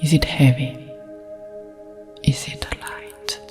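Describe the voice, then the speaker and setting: A woman with a French accent guiding a meditation in a breathy ASMR whisper, soothing and deliberate. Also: over music.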